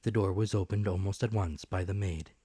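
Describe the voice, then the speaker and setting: Deep-voiced man narrating an audiobook. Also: fairly even intonation, brisk, dark tone, husky, no echo, studio quality. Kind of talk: dramatic scripted narration of classic adventure novel.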